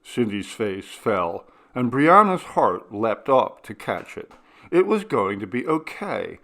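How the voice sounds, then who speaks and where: Gravelly male story narrator. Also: medium pitch, very animated, slow pace, fairly bright tone. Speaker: audiobook narrator. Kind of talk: scripted dramatic story narration.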